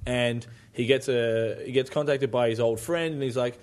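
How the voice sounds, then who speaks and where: Male co-host, average pitch, animated recapper, filler-heavy casual talk. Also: moderate pace. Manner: animated storyteller, lots of "like". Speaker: podcast co-host. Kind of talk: casual group chat about games.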